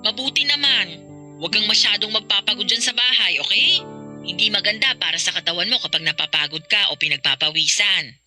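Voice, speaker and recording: A woman's voice: mid-range voice, fairly quick, sharp tone, faint music behind. A woman playing a doting, fussing middle-aged mother with a Filipino accent in a radio drama.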